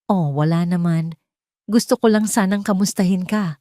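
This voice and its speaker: A woman voicing a smug, menacing mistress in an audio drama, taunting in a sweet, mock-gentle way. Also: lowish voice, fairly even intonation, normal speed, no room sound, clean studio sound, natural voice. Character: smug, menacing mistress making veiled threats.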